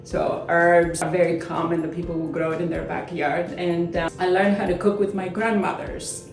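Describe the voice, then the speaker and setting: A woman with a lowish voice, walking through a recipe in plain, practical terms with small slips in her English. She sounds a little distant from the mic in an echoey home kitchen, with faint music underneath. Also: little pitch movement, moderate pace, creaky. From Crete, Greece. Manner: explains steps plainly, small slips in grammar. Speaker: chef and company founder. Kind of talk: cooking demonstration.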